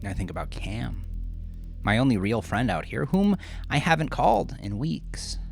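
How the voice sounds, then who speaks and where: A man narrating a first-person horror story in a confessional, brooding, often hushed manner. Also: mid-range voice, very animated, normal speed, creaky. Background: music.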